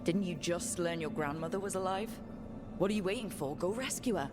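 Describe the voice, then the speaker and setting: A woman playing a steady, caring adventurer in a video game, speaking in an earnest, frank and reasoning way. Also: on the low side, fairly quick, over loud music, lightly acted. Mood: wry, encouraging. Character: steady, caring, frank female adventurer talking a companion through pain. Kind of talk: dramatic video game voice acting.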